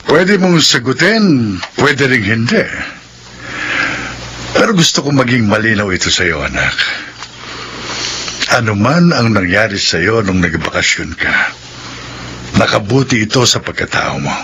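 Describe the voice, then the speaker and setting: An actor playing a concerned, probing middle-aged father in radio drama style, speaking in an earnest, deliberate way. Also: fairly low pitch, very animated, background noise. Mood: earnest, tender. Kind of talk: Filipino radio drama acting.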